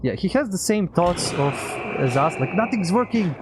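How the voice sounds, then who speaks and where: A man's voice: sits high, big pitch swings, normal speed, heavy background noise. Eastern European-accented man streaming games. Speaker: game streamer. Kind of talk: casual live gameplay commentary.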